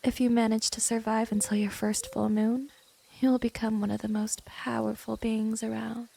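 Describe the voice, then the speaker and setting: A woman plays a smug, seductive female vampire in an intimate ASMR roleplay. Her voice sits fairly high yet soft and dark, with a gravelly fry. She speaks slowly and condescendingly, like a gentle predator in full control. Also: level tone, slight background noise. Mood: slow, hypnotic. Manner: slow, condescending, seductive, breathy drops into whisper. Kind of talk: ASMR roleplay, scripted monologue to the listener.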